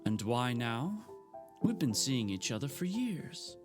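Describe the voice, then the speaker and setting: A man voicing a young woman in love who pleads and bristles with indignation, pitching his voice up high. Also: very animated, slow, over music. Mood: indignant.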